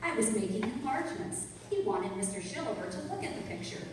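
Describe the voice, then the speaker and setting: Innocent young sweetheart played by a woman, lively pitch. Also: brisk, natural voice. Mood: apologetic. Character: innocent, apologetic young female detective's sweetheart. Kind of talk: old-time radio crime drama acting. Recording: echoey hall, far from mic, clear noise.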